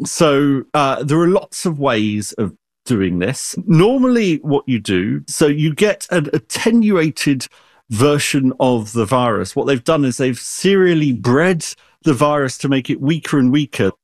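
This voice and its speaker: A man's voice: medium pitch, lively intonation, unhurried, dry recording, clean studio sound. A man who is an expert guest, explaining science in an interview with everyday analogies and frequent restarts.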